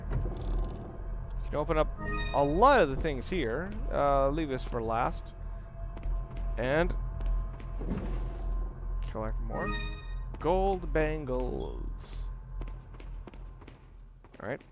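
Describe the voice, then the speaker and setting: A man giving casual, offhand and somewhat rambling commentary while playing a video game. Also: medium pitch. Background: video game music.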